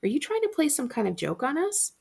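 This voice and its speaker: A woman's voice: sits high, huge pitch swings, brisk, vocal fry, a little mellow, pitched above the performer's own voice, full character voice. A woman voicing puzzled parents who question their child incredulously in a storybook reading. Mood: amused disbelief.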